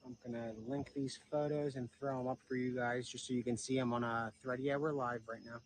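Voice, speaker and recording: A man's voice: medium pitch, level tone, fairly quick. A man hosting a live stream in a casual, hesitant, informal way. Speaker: livestream host. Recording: noisy live stream with insect chirps.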